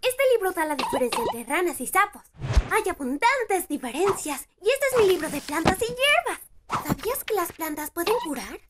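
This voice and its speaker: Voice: extremely high pitch, sing-song, moderate pace, very noisy. A performer voicing a shy, bookish young owl demon prince boy in a cartoon fan dub, timid and polite, with a Latin American Spanish accent. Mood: eager.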